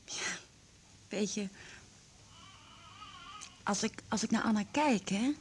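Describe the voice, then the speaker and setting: An actress playing a hesitant, wistful woman in a radio drama, confiding in a halting, hushed way. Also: mid-range voice, slight background noise. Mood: tentative, wistful. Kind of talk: Dutch 1980s radio drama acting.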